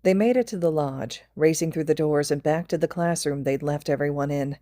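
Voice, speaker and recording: Female podfic narrator, low pitch, scripted storytelling, very dry close sound. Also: fairly quick, dark tone, no room sound. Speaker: podfic reader.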